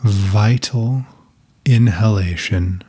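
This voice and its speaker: A man leading a guided meditation in a soft, calm, breathy voice, giving gentle instructions with long pauses. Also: fairly low pitch.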